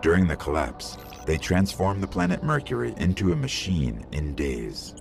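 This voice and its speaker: Low, wry robot companion. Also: male voice, sing-song, moderate pace, gravelly, noisy background, exaggerated voice. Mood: earnest, explaining. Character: small robot companion guide, male, earnest, wry, eager. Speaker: companion and guide. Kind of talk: scripted game dialogue.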